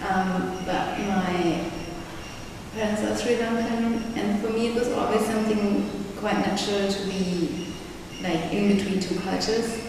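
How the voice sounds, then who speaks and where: A young woman, an artist talking reflectively in an interview, often pausing and restarting as she searches for words. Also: medium pitch, steady tone. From Germany. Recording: echoey room, mic far off, background noise.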